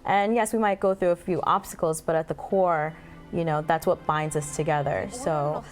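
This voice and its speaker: Earnest woman producer, lowish voice. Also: steady tone, moderate pace, soft background music. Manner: earnest, with filler ums. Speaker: film producer. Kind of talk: TV interview guest. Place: TV studio.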